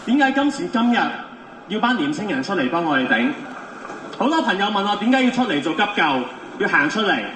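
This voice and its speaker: A man's voice: high voice, big pitch swings, fast, a bit bright. A man in his thirties from Hong Kong, a volunteer first aider, giving an emphatic, pleading speech to a protest crowd. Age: 30s. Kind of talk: impassioned street speech. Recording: echoey, distant, faint street noise.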